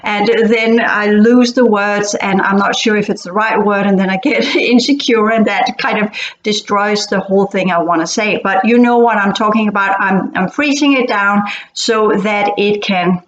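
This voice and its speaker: A woman from Denmark, not a native English speaker, talking casually as host and often pausing to search for words. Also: mid-range voice. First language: Danish. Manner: hesitant, searching for words with many fillers and restarts. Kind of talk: casual explanatory talk. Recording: echoey, dull-sounding room recording.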